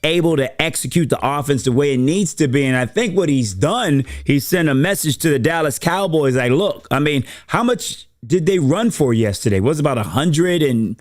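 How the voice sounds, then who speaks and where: A man with a hoarse, worn voice, co-hosting a casual football talk show and explaining things in a measured way. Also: a little high, animated, no echo, clean studio sound. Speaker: co-host.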